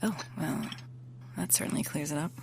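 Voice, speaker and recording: A woman's voice: low voice, steady tone, moderate pace, vocal fry, a little mellow, slight background noise. An actress playing a wry, playful young woman who talks informally and sarcastically in an audio drama. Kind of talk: naturalistic audio drama dialogue.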